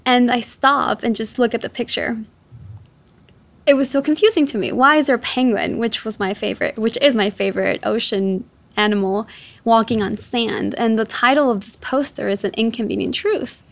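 A woman's voice: a little high, moderate pace, vocal fry. A woman in her twenties from Ecuador who grew up in Chicago, telling personal stories as an interview guest. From born in Ecuador, raised in Chicago from age seven. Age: 20s. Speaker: interview guest, ocean activist.

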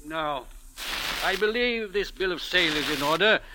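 Evasive gentleman, slightly high voice, clipped polite manner, old radio drama, noisy recording. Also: animated, normal speed. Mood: stiffly polite. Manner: fussy, formal, clipped politeness. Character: prim, evasive English gentleman buyer, outwardly polite. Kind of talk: 1940s radio drama acting.

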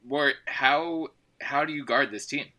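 A man's voice: medium pitch, unhurried, bright, forceful tone. A man hosting a basketball podcast, joking and laughing, getting over a cold and a little froggy. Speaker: podcast host. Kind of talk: casual sports podcast chat.

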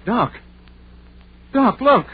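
An actor playing a frightened, reluctant country fellow who stammers, in a 1930s radio horror play on a noisy old recording. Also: male voice, extremely high pitch, expressive, extremely slow, husky, phone-quality sound, heavily acted. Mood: alarmed. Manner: fearful, stammering, folksy. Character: frightened, superstitious, reluctant rustic male companion.

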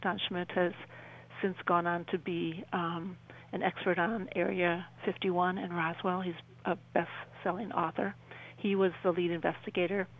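A woman in her sixties with a Midwestern American accent, telling a personal story as an interview guest in a halting, conversational way. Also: lowish voice, flat delivery, rough recording. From southwestern Wisconsin, United States. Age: 60s.

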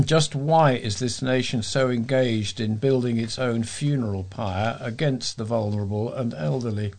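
A man reading readers' letters aloud as a volunteer on a local news recording, with a measured delivery and the occasional stumble. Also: mid-range voice.

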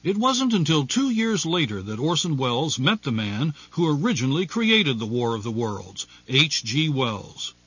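A man giving a scripted narration in a lively storytelling manner. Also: on the high side, normal speed, few pauses, slightly rough, dry recording, lo-fi sound.

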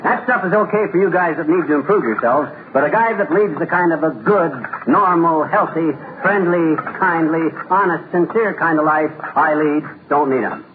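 Man playing a brash, high-voiced radio husband. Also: natural voice. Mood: boastful. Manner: fast-talking, self-satisfied, slangy. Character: brash, boastful, slangy middle-aged husband. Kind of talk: 1940s radio comedy acting. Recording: scratchy, narrow-band old radio broadcast.

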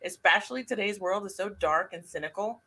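Middle-aged woman co-host, bright and emphatic, chatty fan talk. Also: medium pitch, a little fast, husky. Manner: enthusiastic, emphatic. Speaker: podcast co-host. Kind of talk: casual chat.

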